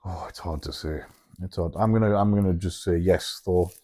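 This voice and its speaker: A man's voice: sits low, normal speed, warm tone. A man co-hosting a podcast in casual, sweary, jokey banter. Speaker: podcast co-host.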